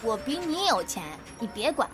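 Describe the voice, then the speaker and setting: A woman's voice: high-pitched, sing-song, moderate pace. A woman voicing a small, feisty young lover, bossy and playful, in a modern Chinese audio drama with music underneath.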